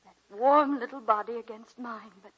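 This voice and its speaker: An actress playing an elderly woman college teacher, a reflective and wry great-aunt, in gentle, theatrical 1940s radio drama style. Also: a little high, fairly even intonation, normal speed, highs cut off, natural voice. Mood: tender, reflective. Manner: reminiscing, gentle, theatrical delivery. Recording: hissy 1940s radio broadcast recording.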